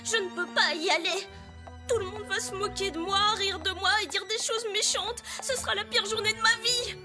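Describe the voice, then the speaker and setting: A tiny, very high voice brings an excitable young cartoon filly to life. She is dramatic and impatient, repeating herself in exclamations and pleas. Music plays behind her. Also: normal speed. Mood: despairing. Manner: pleading, exclamatory, repeats words. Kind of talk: animated children's cartoon dub.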